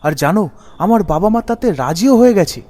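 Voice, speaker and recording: Young male lead, acted by a man, horror cartoon: earnest, fairly high voice, long reverb. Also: expressive, quick pace, mellow. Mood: worried. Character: troubled, trusting young man, obedient son. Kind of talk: animated horror story dialogue. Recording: long hall reverb, music underneath.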